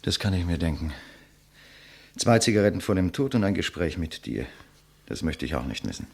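A proud male writer defying his executioner. The speech is theatrical and eloquent with a sardonic edge, set fairly low and swinging widely in pitch. It has the manner of a 1950s German radio drama. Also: sing-song, moderate pace, vocal fry, breathy edge, heavily acted. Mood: resigned, scornful.

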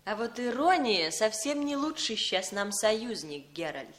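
A woman's voice: on the high side, sing-song, a little slow, fairly bright tone. An actress playing a shrewd, composed spy in a Soviet radio play, pointed and knowing in her delivery. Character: shrewd, composed female spy colleague named Jenny.

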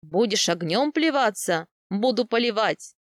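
A woman's voice: mid-range voice, animated, measured pace, no room sound, studio quality, lightly acted. A woman voicing a stubborn, protective young warrior man who talks bluntly in a fantasy audiobook. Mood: matter-of-fact.

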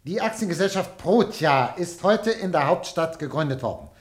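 Radio drama casting: dutiful male press director, brisk, clipped delivery in a raised register. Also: fairly high pitch, animated. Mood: brisk, dictating. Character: businesslike, subservient male newspaper director. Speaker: actor in a radio play. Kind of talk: theatrical German radio drama acting.

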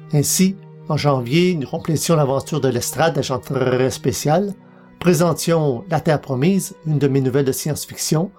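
A man hosts a podcast, reading scripted narration in a measured, formal way. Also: fairly high pitch, darkish tone. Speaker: podcast host.

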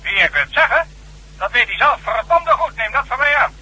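An actor playing an irate, scornful government minister bellowing through a telephone filter in a radio drama. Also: male voice, extremely high pitch, lively intonation, moderate pace, pushed and bright, husky, exaggerated voice. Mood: angry. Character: irate, blustering government minister, male, foul-mouthed bully. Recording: thin, harsh telephone line.

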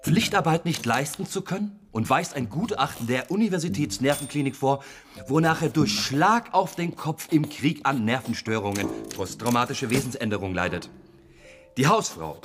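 An actor playing a disdainful, insinuating accuser in a German radio play. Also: male voice, mid-range voice, moderate pace, soft background music, lightly acted. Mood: accusing. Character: disdainful, sneering male accuser of welfare claimants.

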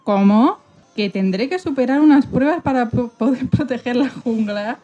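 A woman's voice: on the high side, lively intonation, moderate pace, warm tone, muffled recording, faint music behind. A woman playing an eager, defiant young jungle girl in a children's radio play. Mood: indignant, defiant.

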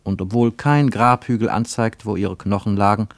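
A man reading scripted literary narration for radio in a measured, solemn manner. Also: lowish voice, fairly even intonation, no echo.

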